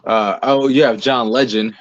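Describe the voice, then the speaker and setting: A man's voice: medium pitch, fairly even intonation, moderate pace, dry recording. An American man giving casual answers as an interview guest, on a slightly muffled recording. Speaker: interview guest, singer, actor and playwright.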